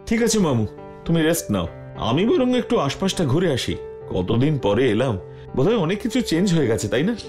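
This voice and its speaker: A man voicing a curious, eager, polite young nephew in a Bengali cartoon, with an expressive, dramatic delivery. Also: a little high, moderate pace, soft background music. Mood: cheerful. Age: young adult.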